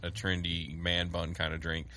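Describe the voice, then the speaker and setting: A man's voice: completely flat delivery, normal speed. A man co-hosting a casual podcast, trading playful jokes with his partner while they compare drinks. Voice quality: gravelly, bright voice, big swings in pitch. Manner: loud, playful, jokey. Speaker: podcast co-host. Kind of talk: casual chat.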